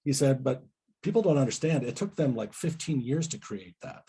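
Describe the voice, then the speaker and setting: A man's voice: mid-range voice, lively intonation, normal speed, mellow, slightly rough. A man speaking as a guest coach in a casual podcast discussion, reflective and tentative, often checking in with tag questions.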